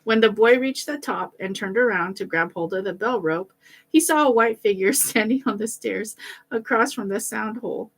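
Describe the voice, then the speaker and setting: A woman reading a fairy tale aloud in an informal, animated way. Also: mid-range voice, normal speed. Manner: animated, stops to correct slips and comment. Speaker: reader of a storybook.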